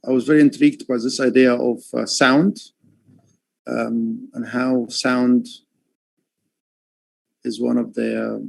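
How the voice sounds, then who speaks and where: A man from Egypt, an artist, talking about his work on a livestream call, often pausing with fillers and restarting his sentences. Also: medium pitch, flat delivery, creaky, no room sound, low-quality recording. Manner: hesitant, many fillers and restarts. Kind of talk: livestream talk. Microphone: headphones on a call.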